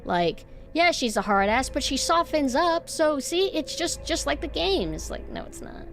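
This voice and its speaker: A woman's voice: high-pitched, sing-song, normal speed, fairly bright tone. Young female streamer, nasal, sarcastic, swears a lot, faint music under her. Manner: rambling, sarcastic, swears freely. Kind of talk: casual stream chat.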